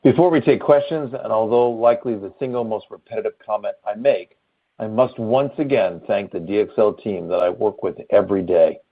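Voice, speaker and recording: A man giving formal, deliberate scripted remarks as an executive on an earnings call. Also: fairly low pitch. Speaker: company chief executive. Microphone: conference call phone line. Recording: narrowband phone conference line.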